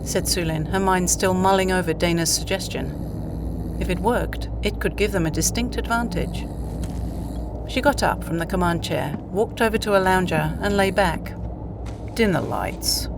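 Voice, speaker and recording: A woman's voice: on the low side, normal speed, noisy background. A woman reading scripted story narration for an audio play.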